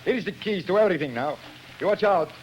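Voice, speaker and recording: A man's voice: high voice, animated, quick pace, dull audio, slight background noise. An actor playing an impatient male watchman who grumbles in broken grammar in a 1930s radio drama. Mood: grumbling.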